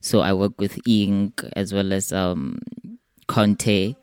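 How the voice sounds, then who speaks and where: A man's voice: sits low, steady tone, slow pace, clean tone, no room sound, clean studio sound. A man from South Africa, a native Sepedi speaker with a South African accent, explaining his work discursively in an interview. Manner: discursive, frequent 'you know'. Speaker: artist, podcast guest. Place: gallery space.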